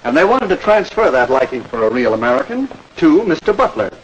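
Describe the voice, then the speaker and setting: Patient campaign coach, animated pitch, explanatory, old educational film, faint background noise. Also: male voice, highs cut off. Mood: instructive. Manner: instructive, probing questions, measured explanations. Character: patient campaign speechwriter coaching a young learner. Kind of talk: 1940s educational film dialogue. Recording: noisy old 1940s film soundtrack.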